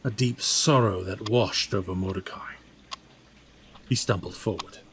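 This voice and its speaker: A man's voice: lowish voice, measured pace, noisy background. A man giving dramatic, scripted story narration.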